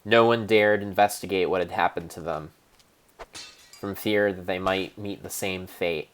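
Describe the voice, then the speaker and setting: Male streamer, low voice, unhurried mock-epic narration with stumbles, faint hiss behind. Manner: deadpan storyteller, halting restarts and self-corrections. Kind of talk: mock-dramatic improvised narration.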